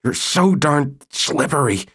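Whiny scared husband, high plaintive pleading, a man reading it out. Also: expressive, normal speed. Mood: protesting. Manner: whining, pleading. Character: cowardly middle-aged husband, plaintive and whining.

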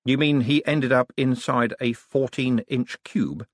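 A man playing a sceptical, sarcastic man in an audiobook, firing blunt, quick-witted questions. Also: mid-range voice, steady tone, no room sound, studio quality, pitched above the performer's own voice, natural voice. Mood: dry. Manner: sardonic, blunt short questions.

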